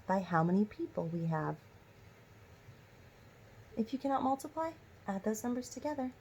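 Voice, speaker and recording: A woman's voice: mid-range voice, a little mellow, husky, low-quality recording. A woman teacher reading a children's story aloud and prompting listeners with instructive questions.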